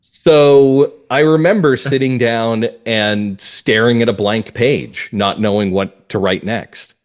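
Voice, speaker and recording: A man's voice: medium pitch, steady tone, slow. A man speaking as an interview guest, explaining things earnestly and emphatically in a conversational way. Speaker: guest playwright.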